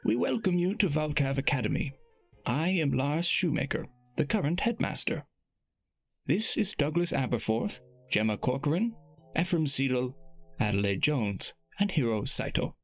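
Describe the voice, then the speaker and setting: A man narrating a story and voicing a formal middle-aged headmaster making measured introductions. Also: a little high, sing-song, creaky, faint music behind, pitched above the performer's own voice, full character voice.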